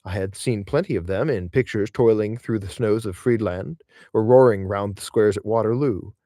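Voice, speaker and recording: A man's voice: on the low side, moderate pace, dark tone, dry recording, studio quality. A man reading literary essays aloud as an audiobook narrator, with theatrical, emphatic phrasing. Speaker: audiobook reader.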